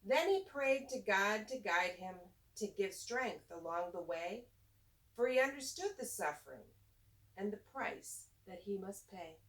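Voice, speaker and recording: A woman hosts a storytime for young children, reading rhyming verse at an unhurried pace. Her delivery is deliberate and teacher-like, with a medium-pitched voice. She sounds slightly distant from the microphone. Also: lively intonation, a bit bright. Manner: slow, deliberate, teacher-like address to young listeners. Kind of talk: read-aloud rhyming children's storybook with simple friendly asides.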